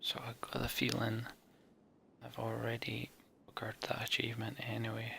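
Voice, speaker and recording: A man's voice: mid-range voice, completely flat delivery, normal speed, creaky, bright, forceful tone, a little hiss or hum. A man hosting a game playthrough, reading the story text aloud dramatically and adding casual commentary. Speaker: let's play host.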